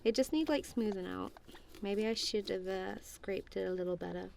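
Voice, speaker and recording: A woman's voice: medium pitch, normal speed, vocal fry, darkish tone, a little hiss or hum. A woman doing a friendly, goofy ASMR roleplay as casual one-sided chat, playful and giggly, with a self-deprecating, apologetic manner.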